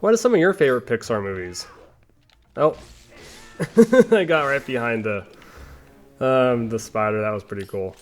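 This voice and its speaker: A man's voice: mid-range voice, expressive, normal speed, long pauses. A man giving casual, rambling commentary over a video game playthrough, chatting with playful asides. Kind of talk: casual gameplay commentary with tangents.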